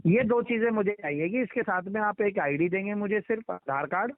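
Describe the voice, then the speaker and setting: High-pitched man on a phone call. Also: fairly quick, no echo. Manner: insistent, talks over others, repeats instructions. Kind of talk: explanatory phone conversation. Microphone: telephone line. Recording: muffled band-limited phone call recording.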